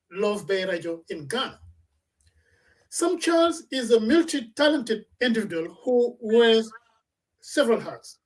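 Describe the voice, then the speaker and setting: A woman hosts a radio talk panel, introducing guests formally and steering the talk in a measured way, often restarting her sentences. Her voice sits a bit high. She is heard from some distance off the microphone. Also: darkish tone. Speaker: radio talk show host. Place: in Toronto.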